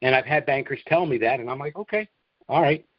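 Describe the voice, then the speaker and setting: A man's voice: medium pitch, quick pace, dry recording. A man with a mid-range voice hosts a question-and-answer conference call. He explains things informally but emphatically, often restating his instructions. The audio is lo-fi and muffled, with very little room sound. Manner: instructive, emphatic, repeats points. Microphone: conference call line.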